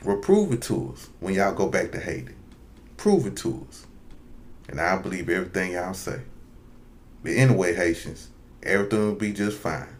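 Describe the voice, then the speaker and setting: Low-voiced sarcastic man. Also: big pitch swings, normal speed, long pauses, slight background noise. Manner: sarcastic, mocking farewell. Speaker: social media commentator. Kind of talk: casual sarcastic monologue.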